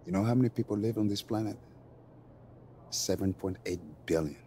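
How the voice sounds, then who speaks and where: An actor playing a wry, proud, middle-aged basketball coach in a video game cutscene, with a deliberate, dry manner. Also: male voice, fairly low pitch, warm tone, a little hiss or hum, close to an everyday voice.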